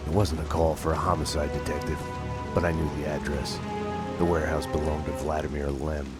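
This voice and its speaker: An actor playing a world-weary, guilt-ridden homicide detective, narrating a video game in a slow, grim, deadpan way. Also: male voice, extremely low pitch. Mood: brooding, fatalistic. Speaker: narrator and protagonist. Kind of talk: noir scripted narration. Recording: loud music behind.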